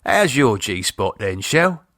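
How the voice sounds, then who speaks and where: A man's voice: fairly low pitch, sing-song, takes it slowly, fairly bright tone, dry recording, clean studio sound, heavily acted. A man voicing a middle-aged British pub landlord in an audiobook, a teasing husband and father with a blunt, colloquial, bantering way of talking.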